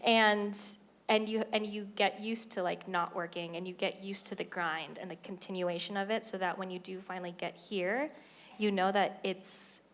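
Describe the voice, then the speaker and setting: A woman in her twenties, a Broadway cast member speaking on a panel talkback, chatty and full of fillers. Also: mid-range voice. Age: 20s. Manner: chatty, filler-heavy, animated.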